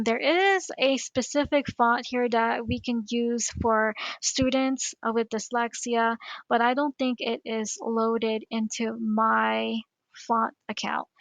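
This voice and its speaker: A woman's voice: fairly high pitch, creaky, fairly bright tone, no echo, rough recording. A woman with a Filipino accent walking teachers through a screen-recorded tutorial, chatty and step by step. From Philippines. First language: Tagalog. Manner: chatty, explains step by step while clicking. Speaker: teacher coach.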